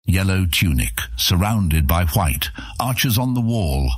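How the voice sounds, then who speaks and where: A man reads a fantasy adventure audiobook in a very low voice with a husky grain. His delivery swings widely in pitch as he tells the story. The sound is dry and close, with no room around it. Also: very animated, unhurried, no echo. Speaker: audiobook narrator. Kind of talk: scripted narration of an epic fantasy adventure serial.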